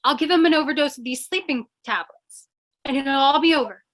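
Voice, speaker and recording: A woman's voice: sits high, brisk, a bit bright. A woman playing a plucky, mock-dignified lady gang boss in an old-time radio play, theatrical and coy. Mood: prim, earnest.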